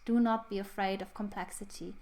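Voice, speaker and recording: A woman's voice: mid-range voice, steady tone, brisk, a little mellow. A young woman, thoughtfully hosting a casual book review. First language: German. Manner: thoughtful, reflective. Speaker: book channel host.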